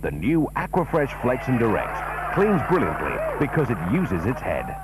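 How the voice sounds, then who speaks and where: A man's voice: medium pitch, wildly swooping pitch, normal speed, heavy background noise. A man narrating a TV advert as playful mock commentary. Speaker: advert voice-over. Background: music.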